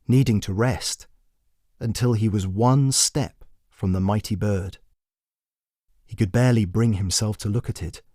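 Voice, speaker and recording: Guy reading a dark fantasy story, low voice, really slow and measured, dry close mic. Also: dry recording. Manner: measured, deliberate phrasing. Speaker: author and narrator. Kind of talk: dramatic scripted fantasy narration.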